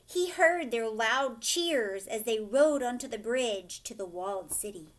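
High-pitched, animated woman reading a storybook. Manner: expressive, instructive, pausing to ask questions. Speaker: teacher reading a picture book aloud. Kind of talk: children's storybook read-aloud with explanations and questions. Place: furnished living room, indoors.

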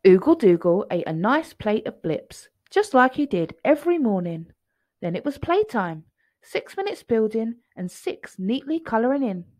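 Animated woman reading a children's story. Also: a little high, normal speed, dry recording, clean studio sound.

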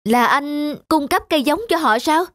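A woman's voice: high-pitched, animated, normal speed, a bit bright, no room sound, clean studio sound. A woman voicing a chatty, nosy middle-aged village man in a cartoon, full of concern. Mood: admiring. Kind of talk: cartoon dubbing. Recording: music under the dialogue.

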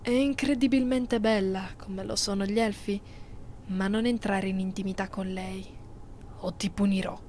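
A woman's voice: medium pitch, steady tone, a little mellow, close to an everyday voice. Woman acting a haughty vampire mistress, noisy ASMR recording. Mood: jealous, stern. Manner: slow, imperious, condescending, often near whisper. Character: haughty, possessive immortal female vampire mistress. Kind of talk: ASMR roleplay, breathy close delivery.

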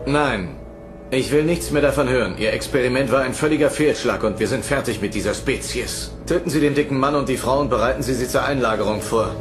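An actor playing a cold, sadistic commander in an audio drama, taunting and menacing with formal politeness. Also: male voice, medium pitch, fairly quick, over music, lightly acted. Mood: cold, dismissive. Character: cold sadistic male commander running cruel experiments. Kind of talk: German audio drama acting.

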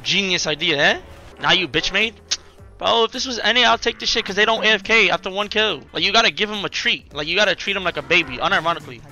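Bright, sharp-voiced young male game streamer. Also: high voice, soft background music. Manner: repeats short commands rapidly, slangy and sweary. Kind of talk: casual live game commentary and team callouts. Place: indoors in a furnished room.

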